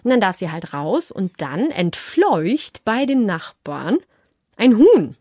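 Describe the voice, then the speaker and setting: Lively actress, dry studio sound. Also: female voice, medium pitch, takes it slowly, husky, dry recording. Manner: lively, animated storyteller who slips into voices. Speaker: audiobook narrator and actress. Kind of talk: relaxed conversational interview.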